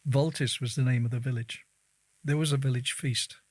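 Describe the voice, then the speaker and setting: Man reading as an elderly migrant, measured, very dry sound. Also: medium pitch, fairly even intonation, no echo, close to an everyday voice. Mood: reflective. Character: elderly male Czech migrant recalling his life. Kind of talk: reading quoted testimony in a news feature.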